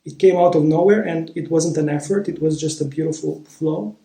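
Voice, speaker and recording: A man shares a personal story in a reflective, unhurried way, often pausing to find the right word. His voice sits a little high but sounds soft and mellow. The room around him rings with echo. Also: vocal fry. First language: Romanian. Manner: thoughtful, searching for words. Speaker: vlogger. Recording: roomy echo.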